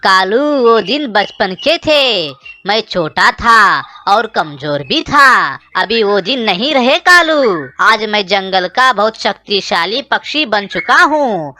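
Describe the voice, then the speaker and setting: A woman's voice: mid-range voice, pushed and bright, a little hiss or hum. A woman voicing a boastful, menacing male owl bully in a children's cartoon, taunting and bragging. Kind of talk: children's cartoon story dialogue.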